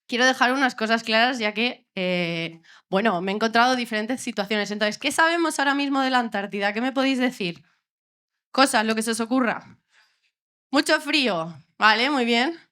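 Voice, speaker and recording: A woman's voice: on the high side, a bit bright. A young woman from Ciudad Real with a La Mancha Spanish accent, giving a jokey, informal science talk to a live bar audience and often checking in with "¿vale?". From Spain, Ciudad Real. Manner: chatty, jokey, keeps asking "¿vale?". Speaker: doctoral researcher giving a public talk.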